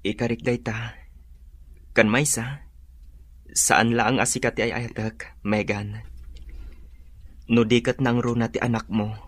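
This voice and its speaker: An actor playing a tender, devoted young suitor in a radio drama, speaking in a sincere, sentimental way. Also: male voice, medium pitch, level tone, unhurried, long pauses, lightly acted. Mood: grateful, devoted.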